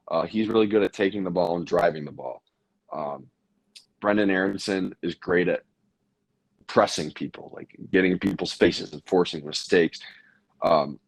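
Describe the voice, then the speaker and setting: A young man with a raspy, tired voice, hosting a casual sports chat and rambling with many fillers. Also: deep voice, no room sound, poor audio. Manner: rambling, lots of fillers and restarts. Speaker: podcast host.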